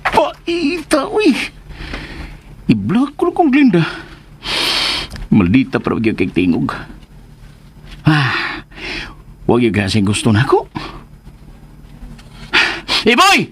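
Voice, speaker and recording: A man's voice: fairly high pitch, huge pitch swings, slow, pauses often, breathy edge, heavily acted. An actor playing a vain, touchy middle-aged businessman in a comic radio drama, boastful and theatrical. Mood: dismayed.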